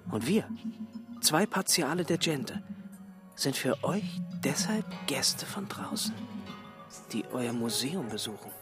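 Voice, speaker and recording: Inquisitive explorer, intense and halting, mid-pitched male voice, radio drama, clear music underneath. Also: big pitch swings. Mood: intense realization. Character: male explorer, awed and probing, piecing mysteries together. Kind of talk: radio play acting, dramatic dialogue.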